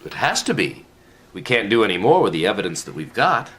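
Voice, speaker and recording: A man's voice: lowish voice, big pitch swings, brisk, fairly bright tone, slight background noise. An actor playing a jovial, patronizing older male official who reassures in an emphatic, avuncular way, in a comedic radio play. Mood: confident, reassuring.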